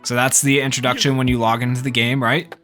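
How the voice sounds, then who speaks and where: Casual low-voiced male gaming YouTuber. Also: little pitch movement, fast, a bit bright. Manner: informal, speculative, rambling. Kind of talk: casual gaming news commentary. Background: background music.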